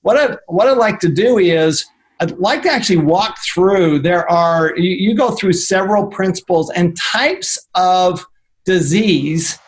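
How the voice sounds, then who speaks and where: A man hosting an interview show, talking in a loose, halting way with frequent fillers and restarts. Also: sits high. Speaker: host. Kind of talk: interview podcast. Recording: remote call.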